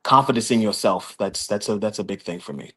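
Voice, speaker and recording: Male contestant from Los Angeles, slightly low voice, earnest, halting delivery. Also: pushed and bright, slightly rough. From Los Angeles, California. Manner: earnest, many um fillers. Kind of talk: casual live chat.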